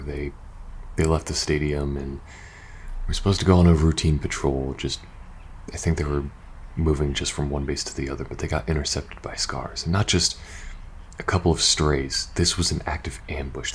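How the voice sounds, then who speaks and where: A man playing a weary, worried young soldier in an intimate ASMR roleplay, speaking softly and hesitantly. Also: extremely low pitch, a little hiss or hum. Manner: hesitant, halting, sighing between phrases. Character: weary, worried young male soldier in post-apocalyptic faction.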